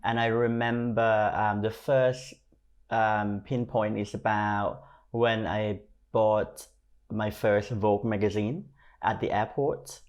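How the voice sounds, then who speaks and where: Male podcast guest, Thai accent, lower-pitched, talking through personal anecdotes in a conversational way. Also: steady tone, takes it slowly, lo-fi sound. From Thailand. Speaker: podcast guest, fashion stylist and creative consultant. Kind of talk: conversational interview storytelling.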